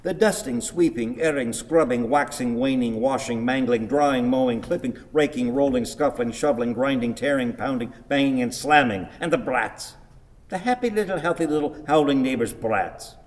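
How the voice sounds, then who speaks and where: An actor playing a grumpy, long-winded old husband in a radio play, with ponderous, pedantic, theatrical delivery. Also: male voice, mid-range voice, a little mellow, in a large hall, lightly acted. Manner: declamatory, ponderous, wordy.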